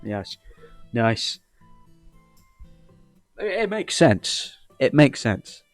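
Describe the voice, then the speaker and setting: A man with an English accent chats casually over faint music, recorded close and dry. He rambles like a gaming host, joking cheekily and swearing freely, at an average male pitch. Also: very animated, extremely slow, no room sound. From England. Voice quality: deep voice. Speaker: host of a gaming video channel. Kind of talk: casual rambling playthrough commentary, reading game dialogue aloud.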